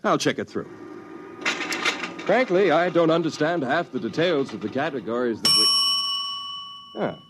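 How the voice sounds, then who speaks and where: An actor playing a mild, fussy, easily flustered bureaucrat in a 1950s radio drama. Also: male voice, fairly high pitch, animated, moderate pace, pauses often, noisy background, natural voice. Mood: polite, puzzled. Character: fussy, courteous, easily baffled male bureaucrat.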